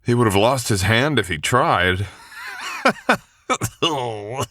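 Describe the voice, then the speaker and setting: A man's voice: mid-range voice, expressive, slow, pushed and bright, dry recording, heavily acted. A man voicing a wounded medieval knight in a boyfriend roleplay, gallant and stubborn, speaking in teasing old-fashioned courtly phrases. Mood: amused. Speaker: voice actor. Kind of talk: boyfriend ASMR roleplay audio.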